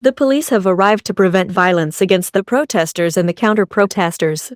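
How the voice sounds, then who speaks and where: A woman's voice: medium pitch, brisk, no room sound, clean studio sound, close to an everyday voice. A woman playing a TV news anchor, reading scripted reports on urgent breaking news. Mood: formal, urgent.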